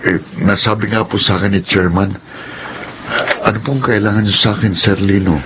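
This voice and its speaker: An actor playing a humble, loyal, kind-hearted worker in a radio drama, speaking respectfully and earnestly. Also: male voice, lowish voice, fairly even intonation, normal speed, lightly acted. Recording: muffled audio with noticeable noise.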